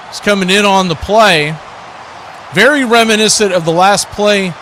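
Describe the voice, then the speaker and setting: High, bright-voiced male play-by-play commentator. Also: slight background noise. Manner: excitable, calling action as it happens. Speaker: play-by-play host. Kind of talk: live football play-by-play commentary.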